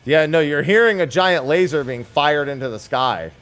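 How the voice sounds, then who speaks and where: A man's voice: fairly high pitch, moderate pace, a bit bright. A man giving casual livestream commentary on a video game, playfully mimicking the characters.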